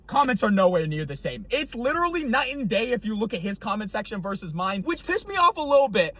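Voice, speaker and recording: A man's voice: high-pitched, sing-song, quick pace, a bit bright. A young man from Texas, a food reviewer, talking casually to camera and defending his review in an exasperated way. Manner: defensive, exasperated, talks it through.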